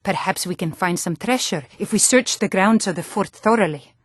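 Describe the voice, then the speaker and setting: A woman's voice: medium pitch, normal speed, slightly rough, dry recording, studio quality, natural voice. An actress playing a tough, blunt Nordic warrior woman in a fantasy video game, speaking firmly with a Nordic accent. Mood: grim. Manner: firm, blunt.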